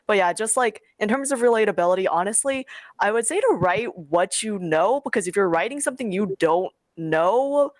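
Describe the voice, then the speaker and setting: A woman's voice: mid-range voice, lively intonation, normal speed. Young woman, informal, emphatic, rambling advice, dry echo-free sound. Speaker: guest webcomic creator. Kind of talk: casual panel talk giving storytelling advice.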